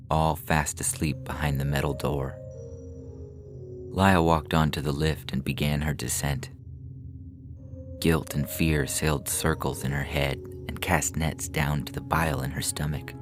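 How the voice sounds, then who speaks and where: Man, extremely deep pitch, scripted story narration, podcast reader, clear music bed behind. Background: background music.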